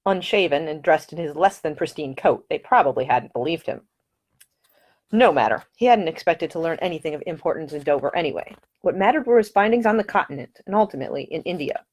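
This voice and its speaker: Woman author-reader for fiction audiobook. Animated, widely varied intonation, dramatic storytelling. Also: low-pitched, fairly quick. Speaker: author reading their own novel. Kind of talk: expressive audiobook narration.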